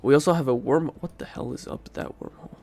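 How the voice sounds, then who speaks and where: A man with a congested, stuffy nose talks through a strategy game at an ordinary middle pitch. His voice has a gravelly, creaky edge. He rambles and corrects himself as he thinks aloud. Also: quick pace, a little mellow. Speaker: game streamer. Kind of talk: casual gameplay commentary.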